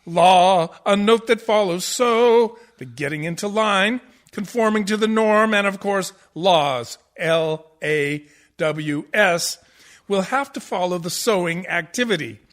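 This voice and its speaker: An actor playing a pompous, condescending theatre professor who lectures pedantically in a comic radio play. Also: male voice, sits high, takes it slowly, a bit bright. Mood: smug, explaining. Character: pompous, condescending male musical-theatre professor, absurdly over-analytical. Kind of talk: comic radio play acting as interview guest.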